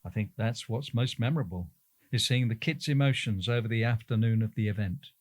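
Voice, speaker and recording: A man's voice: mid-range voice, brisk, no room sound. A man reading out prepared interview answers.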